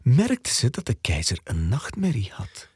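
A narrator with a breathy, husky voice telling a children's story in a dramatic, expressive way. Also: mid-range voice, unhurried, no echo, clean studio sound. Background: faint music.

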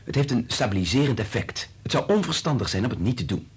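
An actor playing a concerned, clinical doctor in a radio drama, speaking in a soothing, restrained and earnest manner. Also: male voice, sing-song, fast, husky, exaggerated voice. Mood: matter-of-fact. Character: concerned, clinical male doctor secretly in love with colleague. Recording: noisy radio play recording with low rumble.

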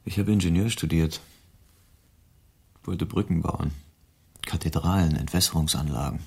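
An actor playing a disillusioned but humane private detective, laconic and dry, in a radio drama. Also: male voice, deep voice, moderate pace, vocal fry, darkish tone, slightly rough, exaggerated voice. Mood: bitter, weary. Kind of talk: German radio drama acting.

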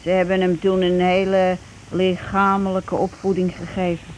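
A woman's voice: lowish voice, monotone, moderate pace, a little mellow, lightly acted. An actress in a radio play playing a nervous, hesitant wife and mother who falters and repeats her words uneasily. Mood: wistful. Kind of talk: naturalistic radio play acting. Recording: noisy old radio play recording.

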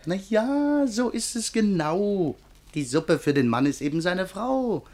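An actor playing a simple, cheeky manservant in a verse radio comedy, husky-voiced, with a homespun comic manner. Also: male voice, high voice, sing-song, a little slow, darkish tone. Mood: explaining, amused. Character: simple, cheeky manservant, homely explainer of things.

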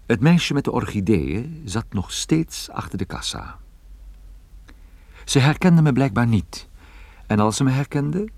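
An actor playing a wry, cool private detective in a radio drama, speaking and narrating with dry deadpan delivery. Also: male voice, deep voice, dry recording. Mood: sardonic narration. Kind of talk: radio drama acting with first-person narration.

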